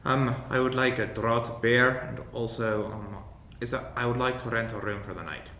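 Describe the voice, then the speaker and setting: A man's voice: fairly even intonation, sharp tone. Hesitant male tabletop gamer, roomy recording. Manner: hesitant, halting, polite. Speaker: player in a tabletop role-playing game. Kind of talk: casual in-character and descriptive game play. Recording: echoey room with faint rumbling noise.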